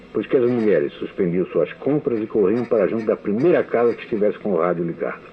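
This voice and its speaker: An older man from Brazil with a Brazilian Portuguese accent, reading his prepared memoir as a recorded testimony, measured and deliberate. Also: fairly low pitch, a little hiss or hum. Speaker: writer giving a recorded testimony. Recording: tinny phone-like archive radio recording.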